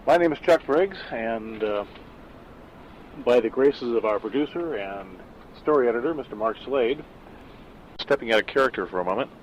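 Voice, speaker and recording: A man in his sixties, an author reading his own horror story and then chatting in a measured, self-deprecating way. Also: fairly low pitch, poor audio. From California. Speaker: author and narrator. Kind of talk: scripted horror narration and casual backstage talk.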